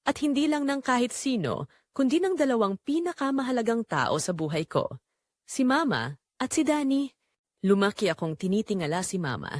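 A woman playing a betrayed young wife in an audio drama, narrating her heartbreak in earnest, measured, confessional phrases. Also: mid-range voice, dry recording, studio quality, lightly acted. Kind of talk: scripted first-person dramatic narration.

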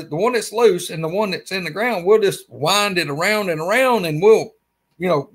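A man in his forties with an Alabama accent, telling a rambling story as a guest on a video call from his man cave. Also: high-pitched. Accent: Southern US, Alabama. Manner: animated, rambling storyteller with lots of fillers. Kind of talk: casual storytelling interview. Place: his man cave, small indoor room. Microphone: webcam on a video call.

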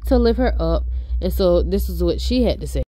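Woman host, stuffed-up nose, breathless rapid gossip chatter, warm low tone. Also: lively intonation, no echo, poor audio. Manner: chatty, fast, reads out posts. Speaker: YouTube host. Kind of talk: casual celebrity gossip commentary.

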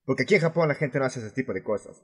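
A man's voice: medium pitch, expressive, racing, slightly rough. A male voice actor plays a furious expat vlogger with a Latin American Spanish accent and a mid-range male voice. He is confrontational and sarcastic, swearing freely and leaning hard on his words in a fan-dubbed rant. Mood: angry, scornful. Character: furious, foul-mouthed male expat vlogger ranting about rude tourists.

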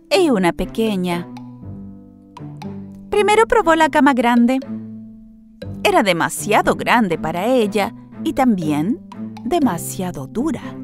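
A woman narrating a scripted children's story in an expressive storytelling manner. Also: high voice.

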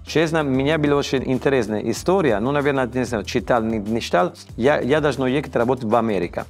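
A man in his fifties with an Italian accent, speaking Russian as a second language in a studio interview, halting and often restarting words. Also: medium pitch, soft background music. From Italy. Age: 50s. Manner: halting, frequent restarts and stammers, searching for words. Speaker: chef, interview guest. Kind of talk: casual interview conversation. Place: dark indoor studio set, seated in armchair.

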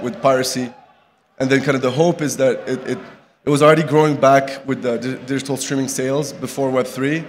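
A young man from Morocco giving a casual conference talk at a podium, full of fillers and restarts. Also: mid-range voice. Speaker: conference speaker. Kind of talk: live conference talk with slides. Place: large conference hall with audience. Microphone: podium microphone. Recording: reverberant hall, faint background noise.

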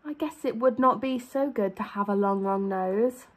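A woman's voice: medium pitch, moderate pace, a little hiss or hum. A young woman reading a children's storybook aloud as a teacher, expressively, drawing out key words for emphasis. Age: young adult. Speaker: primary school teacher.

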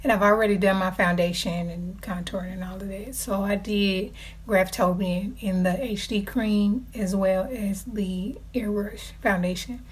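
A woman, hoarse from losing her voice, talking softly through a casual makeup tutorial in a small room, close to the camera. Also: medium pitch, steady tone, a little slow. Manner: soft-spoken, drops to a near whisper. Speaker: beauty vlogger. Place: small dark room indoors. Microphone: camera close to the face.

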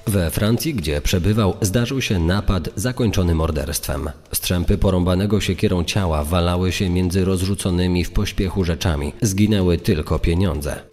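Man as deep, grave old-time crime reporter. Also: normal speed, few pauses, a little mellow, natural voice. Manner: grave, rhetorical. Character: 1930s crime-magazine reporter, grave and rhetorical. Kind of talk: dramatised reading of press report. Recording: long reverb.

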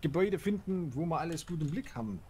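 A man's voice: a little high, fast, warm tone, slight background noise. A man chatting in character in a survival role-play game, reasoning things through in a reassuring way.

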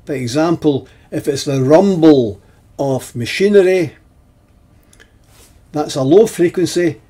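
A man in his seventies giving an explanatory technical lesson in a deliberate, halting way, with pauses between phrases and a husky voice. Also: fairly high pitch, mellow. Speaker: presenter of an instructional video.

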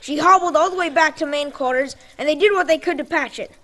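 A woman's voice: sits high, quick pace, fairly bright tone. A woman playing a stubborn, earnest young boy in a post-apocalyptic audio drama, urgent and blunt.

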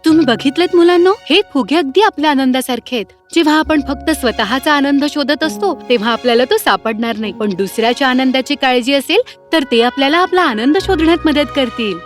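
A woman voicing a kind, eager young princess who teaches children in an animated fairy tale. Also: sits high, fairly quick, hardly any pauses, faint music behind, close to an everyday voice. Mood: bright, encouraging. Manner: encouraging, addressing children. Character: earnest young princess turned new schoolteacher, kind and eager.

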